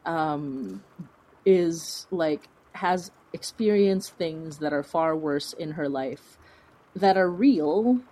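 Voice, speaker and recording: A woman's voice: sits low, darkish tone. A woman speaking as a podcast guest, answering in an earnest, reflective, conversational way. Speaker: guest, fiction podcast creator.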